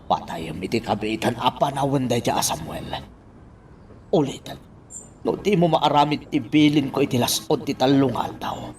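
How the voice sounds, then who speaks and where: A man's voice: medium pitch, normal speed, background noise, close to an everyday voice. A man playing a ruthless boss. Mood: menacing. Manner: commanding, threatening.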